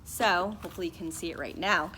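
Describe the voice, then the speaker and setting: A woman's voice: fairly low pitch, quick pace, bright, forceful tone, a little hiss or hum, low-quality recording. A woman teacher leading a morning meeting for young children, singing the days and reading a story aloud in a sing-song, patient way.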